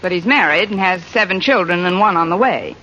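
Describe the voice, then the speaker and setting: A woman playing a sardonic, good-natured schoolteacher in an old radio sitcom, with dry, quick-witted delivery. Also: lowish voice, pushed and bright, highs cut off. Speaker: English teacher character. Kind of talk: 1940s-50s radio sitcom acting. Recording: band-limited, noisy old radio recording.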